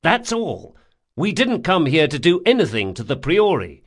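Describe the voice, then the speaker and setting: A man narrating an audiobook, voicing a brash, sardonic thief who speaks forcefully, with menace and exasperation. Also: fairly high pitch, wildly swooping pitch, normal speed, slightly rough, voice pushed up, full character voice. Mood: angry, threatening. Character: brash, sardonic male thief, threatening, foul-mouthed.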